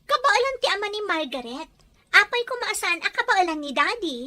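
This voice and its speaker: A woman's voice: very high voice, sing-song, brisk, heavily acted. A woman playing a boastful, competitive, envious schoolgirl in an Ilocano radio drama. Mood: demanding. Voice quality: sharp, childlike voice.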